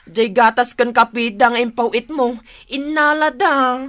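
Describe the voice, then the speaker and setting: Fed up younger sibling in ilocano radio drama, ilocano accent, bright tense voice. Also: female voice, a little high, unhurried. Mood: distressed. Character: younger sibling, exasperated housekeeper of a rural household.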